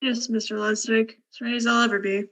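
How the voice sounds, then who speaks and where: A thoughtful ship's scientist acted by a woman in a radio play. The voice sits a little high, with an unruffled, musing, measured delivery. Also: level tone, fairly bright tone, lightly acted. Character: thoughtful, unruffled scientist doctor aboard a spaceship. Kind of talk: radio drama acting.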